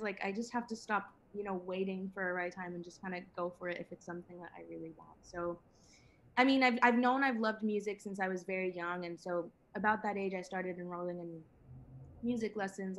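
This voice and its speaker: A woman's voice: fairly low pitch, steady tone, brisk, a bit bright. Young woman, 18, from Venezuela, chatty, laughs a lot, lots of fillers, faint background noise. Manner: chatty, laughs often, many fillers and restarts. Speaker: singer-songwriter guest. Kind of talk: casual interview chat.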